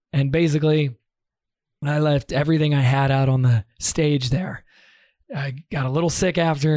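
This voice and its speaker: Hoarse male host with a strained, painful voice, dry close mic. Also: a little high, darkish tone, no room sound. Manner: strained, pushing through pain. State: lost voice, hoarse. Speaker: podcast host. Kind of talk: casual solo podcast talk.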